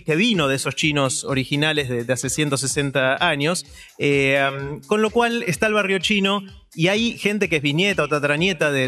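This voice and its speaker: A man with an Argentine Spanish accent, a radio columnist, telling a story in a lively, casual way and often filling pauses with "eh". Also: on the high side. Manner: storytelling, animated, with filler "eh".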